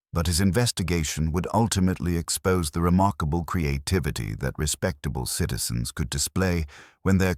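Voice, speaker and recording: A man narrating a mystery audiobook from a script in a measured, formal storytelling manner. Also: a bass voice, no room sound, clean studio sound.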